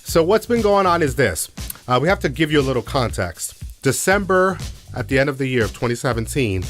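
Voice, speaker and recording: A man's voice: mid-range voice, very animated, brisk, a bit bright, faint music behind. A man co-hosting a radio show, explaining news step by step and putting rhetorical questions to the listeners. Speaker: radio co-host. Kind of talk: music business news commentary on a radio show.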